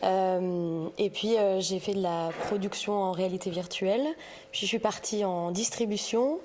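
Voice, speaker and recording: A woman speaking casually as a podcast guest, pausing often as she tells about her work. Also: mid-range voice, fairly even intonation, darkish tone, a little hiss or hum. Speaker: podcast guest, audiovisual sustainability consultant. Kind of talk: casual interview storytelling.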